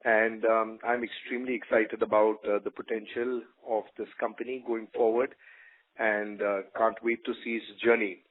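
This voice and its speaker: Even-toned executive on a phone line. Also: male voice, fairly low pitch. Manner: halting, appreciative. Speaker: company executive. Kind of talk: semi-formal thank-you address on a conference call. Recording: narrow tinny phone line.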